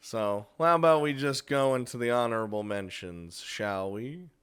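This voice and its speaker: A man's voice: medium pitch, moderate pace, noisy background. A man giving a casual countdown review of games as host, talking informally and chuckling often between phrases. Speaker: host of a video game review series. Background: music.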